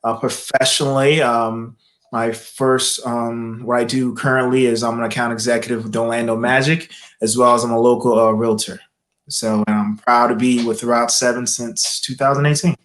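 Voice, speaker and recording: Brisk man from Trinidad and Tobago. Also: mid-range voice, little pitch movement, fairly bright tone. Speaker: account executive, panel guest.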